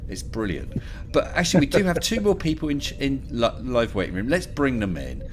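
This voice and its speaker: A man's voice: medium pitch, very animated, fairly quick, faint music behind. A man hosting a live call-in stream, chatting casually and joking a lot. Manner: jokey, chatty, laughs often. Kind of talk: casual chat.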